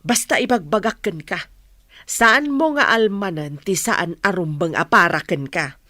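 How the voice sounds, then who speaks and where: A cautious, reproachful elder sister in a radio comedy drama, acted by a woman. Her mid-pitched voice swings widely up and down, recorded dry. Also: lively intonation, unhurried, pushed and bright, no echo.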